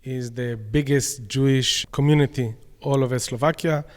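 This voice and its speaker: A man with an Israeli accent, answering interview questions in a plain, slightly halting way with some fillers. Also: medium pitch, fairly even intonation, big-room sound. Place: community centre dining room.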